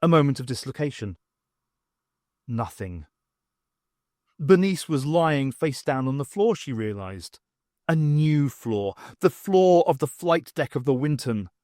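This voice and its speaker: A man reading a novel aloud as an audiobook, with expressive, dramatic narration. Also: medium pitch, a little slow, long pauses, dry recording, clean studio sound. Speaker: audiobook reader. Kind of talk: scripted fiction narration with acted dialogue.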